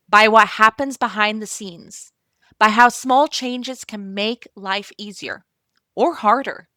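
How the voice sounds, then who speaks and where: A middle-aged woman from Belgium hosting her podcast, speaking gently and encouragingly straight to the listener in a calm scripted monologue. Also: medium pitch, no echo, clean studio sound. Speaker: podcast host and operations consultant.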